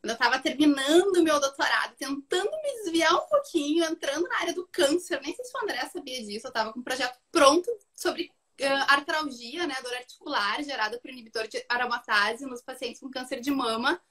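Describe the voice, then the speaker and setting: A woman's voice: sits high, animated, brisk, few pauses, fairly bright tone. A woman with a Rio Grande do Sul Brazilian accent, speaking informally and playfully as a guest in a casual interview. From Rio Grande do Sul, southern Brazil. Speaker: guest, biomechanics researcher and teacher.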